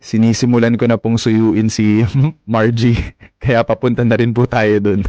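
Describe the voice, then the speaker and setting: An actor playing a dutiful, bashful grown son, speaking politely and respectfully in a radio drama. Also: male voice, mid-range voice, little pitch movement, a little slow, warm tone, no echo, lo-fi sound, natural voice. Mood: reassuring. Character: dutiful, bashful adult son courting a woman.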